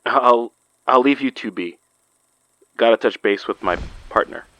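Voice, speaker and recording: An actor playing a steady, caring investigator in an audio drama, talking with gentle teasing. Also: male voice, mid-range voice, unhurried, lo-fi sound, lightly acted. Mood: fond, teasing. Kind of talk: naturalistic audio drama acting.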